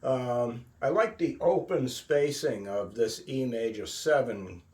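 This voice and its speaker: A man giving an informal guitar lesson, thinking aloud in a musing, halting way and often checking in with a questioning tag. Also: medium pitch. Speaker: guitar teacher. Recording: roomy, distant mic, loud guitar. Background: acoustic or electric guitar playing.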